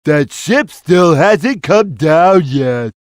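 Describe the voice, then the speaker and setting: Dopey big cartoon cat guy, extremely slow drawl, swooping pitch, easygoing, video game. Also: expressive, no room sound, studio quality. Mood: amazed. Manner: slow-witted, childlike, drawling. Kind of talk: video game character voice lines.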